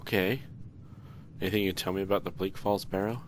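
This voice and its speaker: A man's voice: low-pitched, fairly even intonation, quick pace, slight background noise. A man doing a casual let's play, reading dialogue options and adding dry, sarcastic asides. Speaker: let's player.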